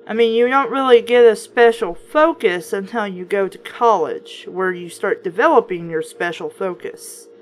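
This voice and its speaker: A woman's voice: medium pitch, normal speed, hardly any pauses, soft background music. A woman reading a children's book aloud in a casual way, breaking off for chatty, wry, skeptical commentary.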